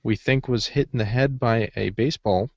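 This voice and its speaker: A man's voice: medium pitch, moderate pace, gravelly, darkish tone, no room sound. A man co-hosting a podcast in informal, easygoing, casual conversation. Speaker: podcast co-host. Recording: slightly dull, lo-fi audio.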